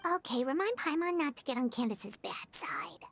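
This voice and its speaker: A woman voicing a tiny, excitable, childlike fairy companion in a video game, with a squeaky, perky, chatty delivery. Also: normal speed, slight background noise. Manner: perky, chatty, refers to self by name. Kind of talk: video game character acting.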